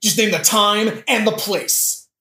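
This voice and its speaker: A woman's voice: mid-range voice, slow, sharp tone. A woman playing a sarcastic, melodramatic young prince in a modern comedic audio play, with theatrical delivery. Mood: smug. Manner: theatrical, sardonic. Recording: roomy echo.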